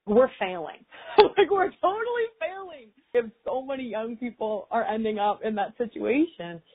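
A woman's voice: on the high side, expressive, moderate pace, slightly rough. A woman talks in a loose, reflective way and fills her sentences with "like." Her voice carries a slight huskiness. The sound is narrow and tinny, like a phone call. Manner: chatty, frequent "like" fillers. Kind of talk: casual reflective chat.

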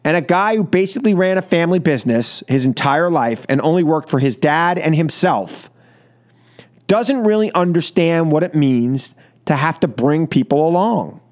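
A man hosting a live political call-in radio show, animated and joking, repeating phrases for emphasis. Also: fairly high pitch, normal speed. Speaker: radio talk show host. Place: radio studio.

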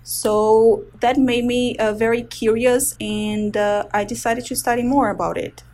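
A woman's voice: a little high, steady tone, a little slow, darkish tone. A woman in her thirties from Brazil with a Brazilian Portuguese accent, a resident physician explaining her research in an interview with frequent hesitations. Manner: explains medical terms carefully with frequent hesitations. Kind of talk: interview answers.

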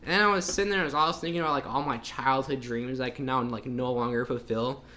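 A man's voice: mid-range voice, fairly even intonation, fast talker, bright, forceful tone, slight background noise. A man in his twenties chatting casually on a podcast, joking about his complaints and acting out his own thoughts. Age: 20s. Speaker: podcast co-host. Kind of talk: casual chat.

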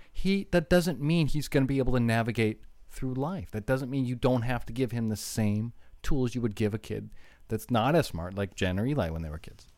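A man's voice: medium pitch, darkish tone, no echo, clean studio sound. Brash, boastful male podcast host. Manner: brash, boastful, teasing, profane. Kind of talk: irreverent podcast chat.

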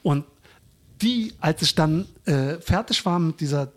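A man's voice: a little high, expressive, a little slow. A man chatting casually on a podcast, telling anecdotes and acting out the dialogue as he goes. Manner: acts out quoted dialogue while telling stories.

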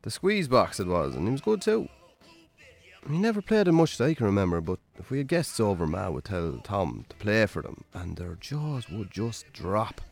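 Guy acting low-voiced irish farmer, radio play, worn down, wry, chatty, music underneath. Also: very animated, normal speed, creaky, full character voice. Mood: fond, reminiscing. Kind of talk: naturalistic radio drama acting.

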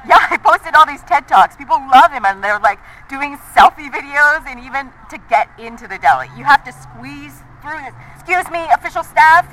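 High and animated, a young event worker in an audio drama. She is chatty, excitable and distracted, with faint ambience behind her. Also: moderate pace, few pauses, background noise. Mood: excited, harried. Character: breathless, busy young female festival staffer. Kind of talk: naturalistic audio drama acting.

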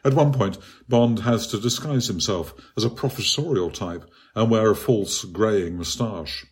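A man narrates a written literary essay in a low voice with a slightly husky grain. His delivery is measured and precise, with the bookish phrasing of an author reading his own article.

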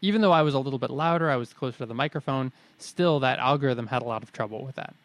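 A man's voice: mid-range voice, fast talker. A young adult man co-hosting a podcast in casual chat, wry and jokey, restating ideas to check them. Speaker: podcast co-host.

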